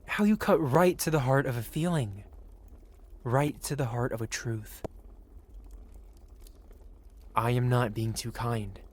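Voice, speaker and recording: A man's voice: mid-range voice, normal speed, close to an everyday voice. A man playing a possessive, wealthy dragon merchant who speaks in formal, flowery, courteous phrases in an intimate fantasy ASMR roleplay. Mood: admiring, intent. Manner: formal, flowery, courteous speech addressed to one listener.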